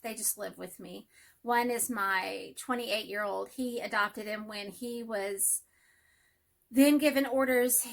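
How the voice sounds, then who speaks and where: Nasal Texan woman, bright and high. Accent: Texas. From Texas. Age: middle-aged. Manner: rambling, friendly, self-deprecating. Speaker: hobby vlogger and math teacher. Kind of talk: casual chat to camera.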